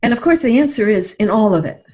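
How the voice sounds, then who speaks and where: Middle aged lady on a podcast, highish voice, thoughtful and earnest, kinda dull lofi sound. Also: expressive, fast, a little mellow. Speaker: podcast guest, life coach. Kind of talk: reflective interview conversation. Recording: dull lo-fi audio.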